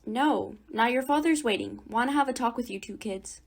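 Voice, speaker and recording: A woman playing an exasperated, brisk mother in a radio drama, with a bossy, folksy, scolding manner. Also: a little high.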